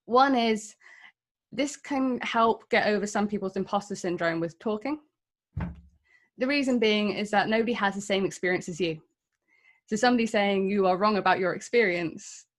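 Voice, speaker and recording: A woman in her thirties with an English accent, giving a candid, self-deprecating talk to an audience of developers. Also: mid-range voice. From England. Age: 30s. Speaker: conference speaker.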